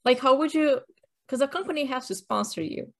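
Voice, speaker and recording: A woman's voice: sits high, fairly quick. Chatty, wry woman co-hosting a podcast. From Brazil. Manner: wry, joking, chatty explainer. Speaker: podcast co-host. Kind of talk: casual chat.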